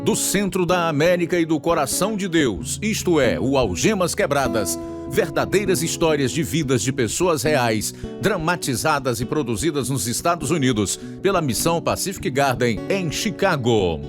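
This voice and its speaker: A man's voice: on the high side, expressive, normal speed. Solemn Brazilian male radio drama announcer. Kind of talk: scripted radio narration. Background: guitar music.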